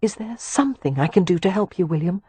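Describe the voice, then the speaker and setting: A woman doing a genteel young lady, higher voice. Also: animated, fast talker, husky, dry recording. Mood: earnest. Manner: gentle, pleading, at times tart. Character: earnest young gentlewoman.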